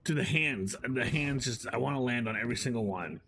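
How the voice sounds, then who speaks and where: A man's voice: mid-range voice, fairly quick, a bit bright, slight background noise. A man in casual gaming chat, commenting as he plays. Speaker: gamer commenting during play.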